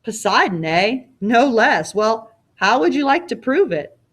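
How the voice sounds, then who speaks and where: A woman's voice: a little high, very animated, measured pace, fairly bright tone, slightly rough, exaggerated voice. A woman voicing a proud old king in a storybook read-aloud, scornful and commanding, often mocking with pointed questions. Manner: imperious, mocking, probing with questions.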